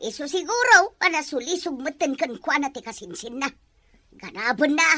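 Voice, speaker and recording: Older Ilocano grandmother for radio melodrama, played by an actress, defensive, forceful, animated, blaming. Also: sits high, moderate pace, soft background music. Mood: scornful, defensive. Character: stern, blaming grandmother, older woman, defensive and sharp-tongued.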